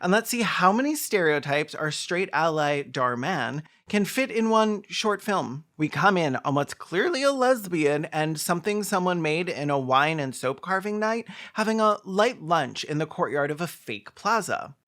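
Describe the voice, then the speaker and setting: Sarcastic video host, mid pitch voice, snarky and dramatic, swears a bit, doing commentary. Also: expressive, moderate pace, few pauses, a bit bright. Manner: snarky, theatrical, profane.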